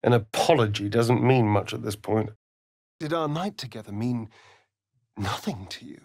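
An actor voicing a suave, vain, sardonic vampire rogue in a video game, with a British accent and an arch, theatrical drawl. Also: male voice, medium pitch, moderate pace, vocal fry, darkish tone, no room sound, studio quality. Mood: hurt. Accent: British English. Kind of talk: video game character voice acting.

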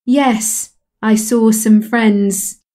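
A woman calmly repeating English model sentences in a slow, measured way for a lesson. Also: on the high side. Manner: calm, measured repetition of phrases. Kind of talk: slow scripted language drill.

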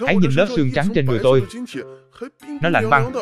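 A man's voice: fairly high pitch, fast, over music, natural voice. A man playing a naive, indignant talking watermelon in a cartoon dub. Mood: alarmed.

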